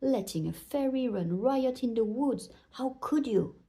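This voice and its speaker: A woman's voice: on the high side, animated, a little slow, dark tone, voice pushed up. Woman doing an indignant, moaning woodland critter. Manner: moaning, scolding. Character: indignant, complaining woodland animals, rabbit and squirrel.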